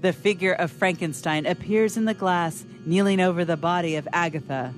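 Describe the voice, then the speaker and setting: A woman's voice: fairly low pitch, normal speed, faint music behind. A woman narrator reading scripted stage directions for a radio drama.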